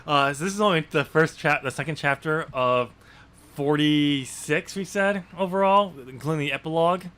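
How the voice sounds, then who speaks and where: A man's voice: on the high side, normal speed, a bit bright, clear, resonant tone. A man co-hosting a podcast as an author, discussing a book casually in a rambling way. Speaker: podcast co-host and novel author.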